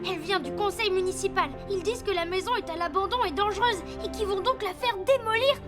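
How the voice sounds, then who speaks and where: A woman's voice: very high voice, fast talker, loud music behind the voice. A woman voicing an eager, plucky schoolboy in a French cartoon, exclamatory and earnest. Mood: puzzled, worried. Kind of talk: French animated series dubbing.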